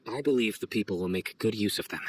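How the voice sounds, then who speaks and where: An actor playing a stern young official in a video game, speaking in a formal, deliberate deadpan. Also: male voice, on the low side, a little hiss or hum, natural voice. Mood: formal, matter-of-fact. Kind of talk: video game cutscene voice acting. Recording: faint music behind voice.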